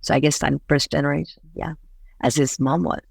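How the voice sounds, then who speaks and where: A woman's voice: low-pitched, level tone, a little fast, vocal fry, husky, no echo, studio quality. A woman born in Iran, an actress being interviewed, talking earnestly with many fillers and restarts. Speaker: voice actress guest. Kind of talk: interview answers.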